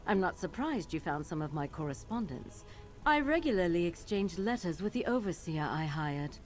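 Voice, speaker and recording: A woman's voice: lowish voice, big pitch swings, moderate pace, darkish tone. A woman voicing a haughty, evasive wizard master in a fantasy video game, imperious and dismissive. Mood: defensive, cool. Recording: music behind.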